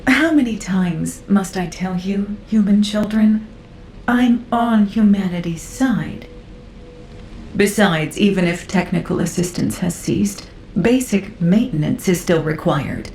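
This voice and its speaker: A woman voicing a serene, ageless female artisan in a video game, fond and teasing toward youngsters, with an unhurried, indulgent, amused manner. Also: creaky, darkish tone. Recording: roomy echo with rumbling noise.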